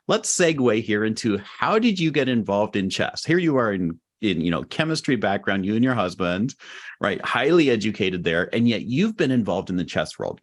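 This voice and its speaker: A middle-aged man hosting a podcast interview, upbeat and enthusiastic, laughing often. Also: on the low side. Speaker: podcast host.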